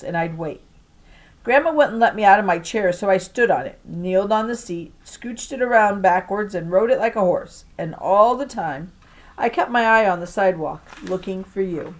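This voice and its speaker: A woman's voice: mid-range voice, low-quality recording. Woman reading a children's story aloud, emphatically. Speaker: teacher reading aloud to a class. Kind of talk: expressive children's novel read-aloud.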